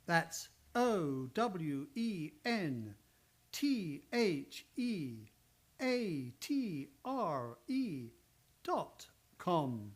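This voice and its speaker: A male radio announcer with a high voice and a very slow pace. He delivers scripted narration in a deliberate, theatrical way. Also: big pitch swings. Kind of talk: scripted narration and promo reading. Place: radio studio, live performance.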